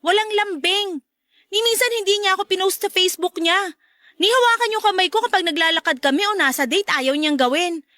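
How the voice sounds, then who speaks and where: A woman's voice: very high voice, fairly quick, sharp tone, dry recording, clean studio sound. A woman playing an emotional, whiny girlfriend and mother in an audio love-story drama, with a Filipino accent and dramatic delivery. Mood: hurt, venting. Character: emotional girlfriend, a mother, venting about her ex.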